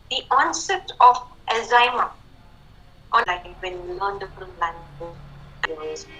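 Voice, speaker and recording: A woman's voice: fairly high pitch, pushed and bright, a little hiss or hum. A woman giving a talk on language teaching methods, with a lot of conversational "you know" fillers. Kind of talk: lecture to educators. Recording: tinny phone-line sound.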